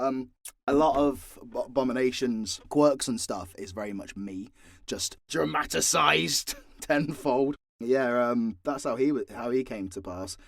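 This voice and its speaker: A man talks straight to his listeners in an unscripted monologue, swearing freely and acting exasperated. His pitch sits in a middle range but moves about a lot. The sound is dry, with little room on it. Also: dry recording. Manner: blunt, sweary, theatrically exasperated. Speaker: audio drama creator and voice actor.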